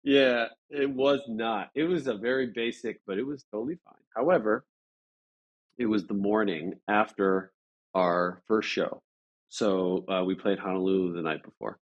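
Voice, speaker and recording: Male interview guest, lowish voice, conversational, halting and agreeable storyteller. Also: lo-fi sound. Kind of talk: casual anecdote in an interview.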